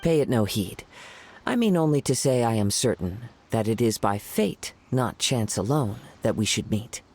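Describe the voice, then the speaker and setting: An actor voicing a serene young wandering poet in a video game, soft and breathy, with measured, formal phrasing. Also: male voice, mid-range voice, animated. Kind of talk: video game story dialogue.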